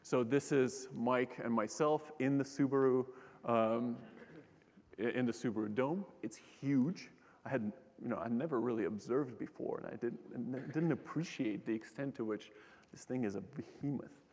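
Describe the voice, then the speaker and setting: A young man gives a relaxed, joking public science talk and often stops to restart his sentences. His voice is middle-pitched. He is on a lecture hall stage, and the sound carries a long hall reverb. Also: moderate pace. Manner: casual and joking, with frequent fillers and restarts. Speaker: keynote speaker, astronomer.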